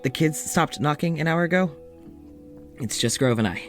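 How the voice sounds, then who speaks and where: An actor playing an exhausted, anxious doctor recording an audio journal, speaking haltingly and wearily. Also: male voice, on the high side, animated, faint music behind. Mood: weary. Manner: halting, weary, pleading, breaks into shouting. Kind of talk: audio drama monologue.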